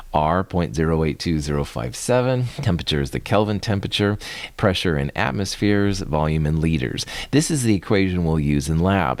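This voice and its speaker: Very deep-voiced male chemistry instructor. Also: dry recording. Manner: relaxed, conversational, chuckles often. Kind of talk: recorded online lecture.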